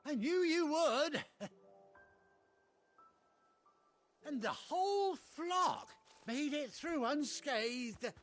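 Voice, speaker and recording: A high-voiced, animated British trader. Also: male voice, slow pace, exaggerated voice. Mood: cheerful. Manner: folksy, hearty, colloquial. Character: chatty, kind-hearted male cart trader, rustic and jovial. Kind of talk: video game cutscene acting. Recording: game music behind the voice.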